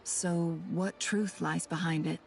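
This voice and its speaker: A woman voicing a poised, shrewd female information broker in a video game, composed and smooth-talking. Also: fairly low pitch, fairly even intonation, takes it slowly, soft background music, low-quality recording.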